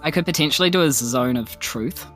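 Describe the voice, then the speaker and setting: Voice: mid-range voice, lively intonation, fairly quick, a bit bright, faint music behind. A player with a New Zealand accent chatting casually during a tabletop roleplaying game. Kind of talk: casual chat.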